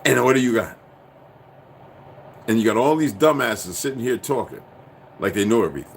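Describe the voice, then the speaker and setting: A man rants straight to his viewers in a profane, preachy and insistent way. His pitch swings widely as he pushes each point, and a faint hiss sits under his voice. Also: animated, moderate pace, vocal fry. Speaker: commentator. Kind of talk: ranting monologue to viewers.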